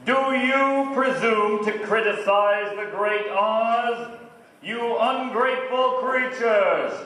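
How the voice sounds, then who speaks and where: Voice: mid-range voice, a bit bright. A performer playing a pompous, wrathful great wizard apparition in a school stage play. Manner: pompous, drawn-out commands. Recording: echoey stage, distant microphone.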